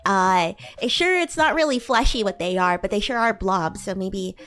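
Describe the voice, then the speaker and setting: A woman's voice: mid-range voice, normal speed, a bit bright, pitched below the performer's own voice, natural voice. A woman voicing a bratty, haughty young demon class president in a livestream roleplay, teasing and condescending as she improvises. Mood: playful, teasing. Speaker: VTuber streamer playing a roleplay character.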